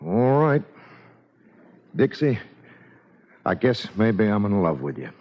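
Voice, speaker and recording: An actor playing a bashful, plain-spoken cowboy with folksy Western talk in 1940s radio drama. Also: male voice, on the low side, very animated, slow. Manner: folksy Western drawling idiom. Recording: dull vintage radio recording.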